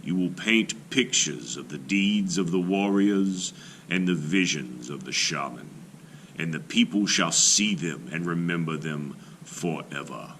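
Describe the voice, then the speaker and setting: A man voicing a kindly old grandfather from a dream vision, in a measured, prophetic storybook manner. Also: deep voice, expressive, fairly bright tone, a little hiss or hum, full character voice. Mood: solemn, kindly.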